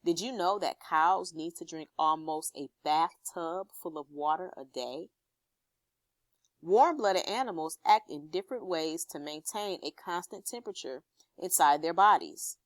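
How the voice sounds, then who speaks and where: A woman teacher reading an informational text aloud to pupils and explaining it, pausing to check understanding with questions. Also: fairly low pitch, dry recording.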